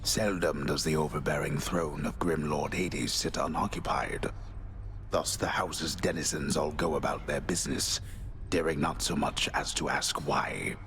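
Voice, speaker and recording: An actor playing a grave, fatherly older man in a fantasy game, speaking in a solemn, measured, formal way. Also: extremely low pitch, fairly even intonation, fairly bright tone, slight background noise. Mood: grave narration.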